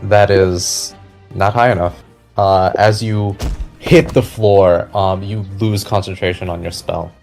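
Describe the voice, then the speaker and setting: Low-voiced man running a tabletop game. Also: steady tone, slow, darkish tone. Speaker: game master. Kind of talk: narrating a tabletop fantasy game. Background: background music.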